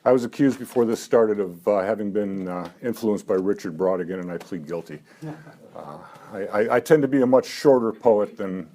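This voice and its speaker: A man in his seventies at a live poetry reading, talking haltingly between poems with dry, self-mocking asides and lifting his voice for the verse. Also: on the low side, dark tone. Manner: halting talk with many "uh" fillers. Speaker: poet.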